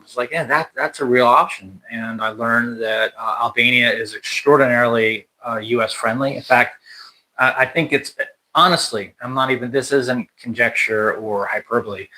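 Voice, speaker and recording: A man's voice: medium pitch, steady tone, normal speed, bright, forceful tone, low-quality recording. An American man hosting a casual livestream chat, talking in a rambling way with many restarts and fillers. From United States. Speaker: channel host. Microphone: livestream mic.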